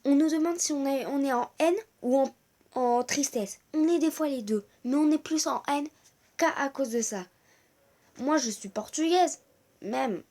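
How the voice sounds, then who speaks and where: A woman's voice: sits high, measured pace, a little mellow. A teenage girl from France speaking earnestly to her viewers in a personal video, hesitant and full of fillers and restarts. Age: teens. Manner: earnest, growing indignant. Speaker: vlogger.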